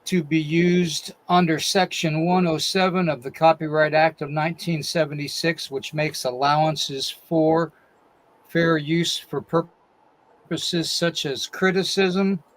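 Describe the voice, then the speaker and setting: A man's voice: a little high, fairly even intonation, takes it slowly, no echo, rough recording. A man hosting a live-stream podcast, reading a disclaimer and greeting chat viewers by name, who says he is losing his voice. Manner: reads a long disclaimer, then greets chat viewers one by one. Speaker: podcast host.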